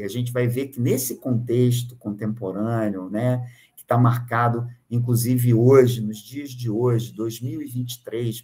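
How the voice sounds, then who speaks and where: A man's voice: medium pitch, a little mellow. An older man from Brazil giving an online academic lecture over a webcam, hesitant, with frequent filler sounds and restarts. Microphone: video call webcam.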